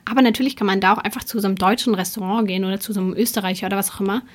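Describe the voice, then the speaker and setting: A woman's voice: medium pitch, steady tone, rapid-fire. A young woman co-hosting a podcast, chatting casually and explaining things in an easygoing way. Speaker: podcast co-host.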